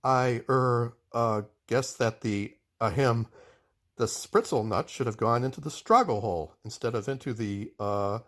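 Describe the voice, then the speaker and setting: A man voicing a flustered, eager inventor's helper from a children's storybook, given to hesitant muttering and excited outbursts. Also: medium pitch, measured pace, lightly acted. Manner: hesitant muttering with throat-clearing, bursting into excitement.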